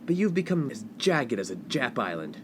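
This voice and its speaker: A man's voice: mid-range voice, very animated, normal speed, natural voice. A male actor plays a young upper-class college man of the 1950s. He is pompous and easily outraged, scolding like an offended snob. Faint noise sits behind the comic radio-play voice. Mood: indignant, scolding. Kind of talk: comedic radio play acting.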